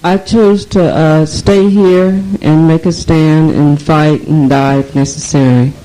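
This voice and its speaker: A woman answering hesitantly and slowly at a meeting, with many fillers, on an old archival tape. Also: deep voice, steady tone, mellow, poor audio.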